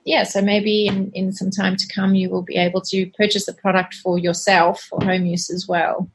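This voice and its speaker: A woman's voice: medium pitch, level tone, normal speed, slight background noise, rough recording. A middle-aged woman with a South African accent, chatting earnestly and a little hesitantly as a podcast guest. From Zimbabwe and South Africa. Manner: earnest, hesitant, self-deprecating, laughs softly. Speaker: cleaning company cofounder, guest. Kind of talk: casual interview chat.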